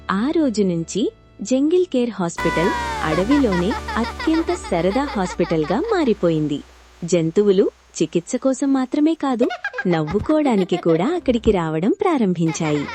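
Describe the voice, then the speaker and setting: A woman reads a funny animal story aloud for children and addresses them directly. Her narration is expressive, with a lively, melodic pitch. Soft background music runs beneath her voice. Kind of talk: scripted story narration for kids.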